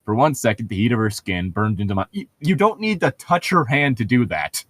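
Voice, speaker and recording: A man's voice: mid-range voice, big pitch swings, brisk, no room sound. A man reading aloud casually and breaking off for sarcastic, sweary commentary as co-host of a comedic read-along.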